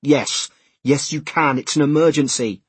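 A man's voice: medium pitch, normal speed, husky, no echo, lightly acted. A man voicing a harried, exasperated man in an audiobook, speaking urgently and insistently. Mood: urgent pleading. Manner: emphatic, insistent. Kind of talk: audiobook character dialogue.

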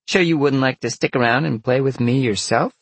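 Guy putting on a flirty sly lady fox, higher husky voice, teasing and grumbly, audiobook. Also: very animated, normal speed, a bit bright, no room sound, exaggerated voice. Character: sly, flirtatious, irreverent female vixen. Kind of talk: audiobook character acting.

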